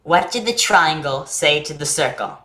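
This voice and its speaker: Eager, earnest kid played by a woman for a staged play, full of questions, slightly low, echoing room. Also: animated, normal speed, a bit bright. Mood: playful. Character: naive, eager, chatty young boy who loves jokes.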